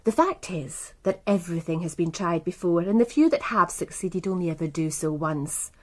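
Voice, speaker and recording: A woman acts out a proud, worldly English businesswoman for radio drama. She speaks in a lowish voice with composed, articulate phrasing. Her manner is coolly self-assured. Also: moderate pace, a little mellow, close to an everyday voice. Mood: cool, knowing. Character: poised, proud, worldly businesswoman running a bookmaking empire. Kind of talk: British radio crime drama acting.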